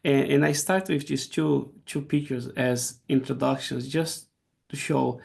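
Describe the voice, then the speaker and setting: Brazilian-accented man, middle-aged, gravelly voice, halting lecture delivery on a video call. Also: medium pitch, fairly even intonation, a little mellow. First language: Portuguese. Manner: halting, frequent restarts and repeated words. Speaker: guest speaker. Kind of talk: academic seminar lecture.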